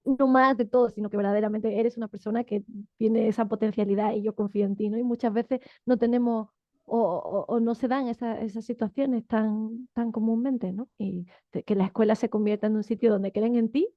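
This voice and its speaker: Enthusiastic female moderator, higher voice. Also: steady tone, normal speed, no room sound, highs cut off. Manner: enthusiastic, searching for words. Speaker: event moderator. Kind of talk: casual moderating.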